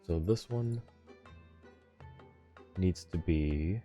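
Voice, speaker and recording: A man with a low voice streams a game and chats casually with his viewers. He sounds a little tired and is polite and appreciative. Faint music plays behind him. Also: very slow, warm tone. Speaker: live streamer. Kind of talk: casual chat with viewers while gaming.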